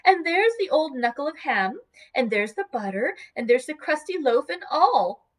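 A woman voicing a cheerful young wife who fusses and dotes, her voice going high and bright. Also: very animated, normal speed. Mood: bustling. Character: young cheerful doting wife, chattering fondly.

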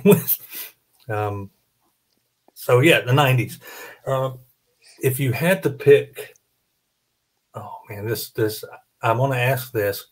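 A middle-aged man hosting a casual panel chat, rambling a little as he thinks aloud. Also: lowish voice. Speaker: host of a collector panel. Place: small home room, indoors, video call. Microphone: studio mic on boom arm close up.

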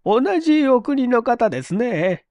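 Guy doing a gentle old landlady in an audio story, high voice, really lilting. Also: sing-song, measured pace, no room sound, exaggerated voice. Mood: kindly, welcoming. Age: older. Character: kindly elderly Russian landlady, gentle and polite.

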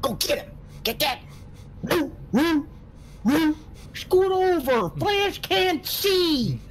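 A man playing an excitable, grumbling, bumbling Southern county sheriff in comic game roleplay, full of folksy griping. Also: very high voice, slow, exaggerated voice. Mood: excited. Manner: folksy griping, mild cussing.

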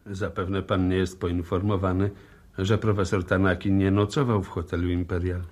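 Police inspector, formal, dry, insistent, low-pitched, raspy, old radio play. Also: male voice, flat delivery, moderate pace, a little mellow. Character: probing, politely insistent male police inspector. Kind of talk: 1960s Polish radio play acting.

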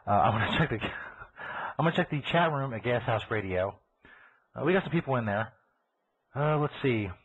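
A man's voice: medium pitch, animated, moderate pace, pauses often, slightly rough. A man with a Kentucky accent hosting a live internet radio show, rambling in casual, profane chat and laughing as he goes. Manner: profane, laughing, talks over himself. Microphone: computer mic over a call line. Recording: tinny phone-like internet broadcast.

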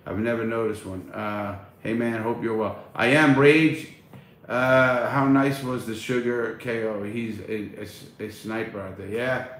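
A man's voice: mid-range voice, fairly even intonation, a little slow, fairly bright tone. Blunt middle-aged man in echoey room. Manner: loose, blunt, swears casually. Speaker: livestream host reading chat. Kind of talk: casual livestream chat. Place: small room at home, indoors. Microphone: phone at arm's length. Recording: echoey room, faint noise.

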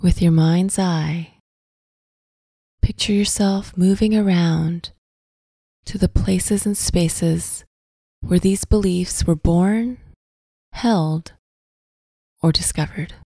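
A woman narrating a scripted guided visualization in a soft, breathy, soothing voice, with deliberate phrasing and long pauses. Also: fairly low pitch, no echo. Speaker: guided meditation host.

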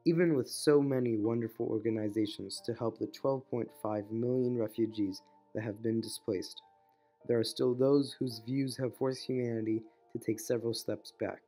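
A man's voice: mid-range voice, fairly even intonation, moderate pace, dark tone. A teenage male student narrating a documentary in an earnest, read-aloud voice. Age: teens. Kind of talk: scripted narration. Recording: voice-over over background music.

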